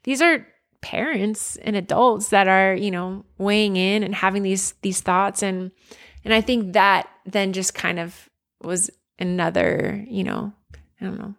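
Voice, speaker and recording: A woman from near Philadelphia talks candidly in an interview, reflecting on her past with plenty of fillers and self-corrections. Her voice sits at an ordinary pitch with a noticeable gravelly fry. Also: measured pace. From United States, near Philadelphia. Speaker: guest, former college basketball player.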